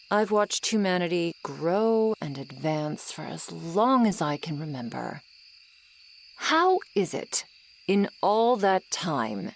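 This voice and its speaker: Woman playing a slow, low-voiced tsundere monster girl. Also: slight background noise, heavily acted. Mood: exasperated, scolding. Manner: slow, sardonic, haughty but softening. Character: tsundere swamp monster girl, ageless, grudgingly kind, aloof. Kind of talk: ASMR roleplay acting, addressed to the listener. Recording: night swamp ambience with insects behind. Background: crickets and insect ambience.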